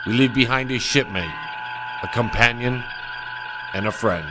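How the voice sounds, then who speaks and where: A man playing a steady, decisive starship captain in an amateur sci-fi audio drama. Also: medium pitch, moderate pace, background noise, lightly acted. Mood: solemn. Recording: loud music bed over dialogue.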